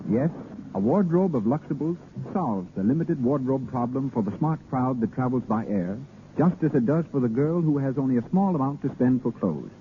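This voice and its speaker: A man's voice: medium pitch, animated, normal speed. A man announcing in the style of a 1930s radio commercial narration. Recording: noisy, tinny 1930s radio recording.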